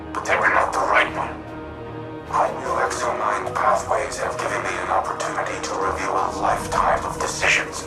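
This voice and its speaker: An actor playing a grave, remorseful artificial mind turned humanlike, speaking in formal, deliberate, analytical phrases for a game cutscene. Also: male voice, deep voice, close to an everyday voice. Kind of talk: scripted game cutscene acting. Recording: echoey, distant, loud music behind.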